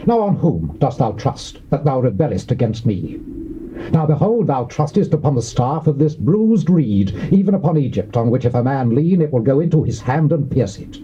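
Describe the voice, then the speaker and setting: An actor with a British accent playing a boastful, threatening Assyrian envoy, declaiming in a taunting, theatrical biblical drama style. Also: male voice, medium pitch, very animated, moderate pace, warm tone, natural voice. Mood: scornful challenge. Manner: declamatory, taunting, archaic oratory. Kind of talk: theatrical dramatized scripture reading. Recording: muffled old cassette with background hiss.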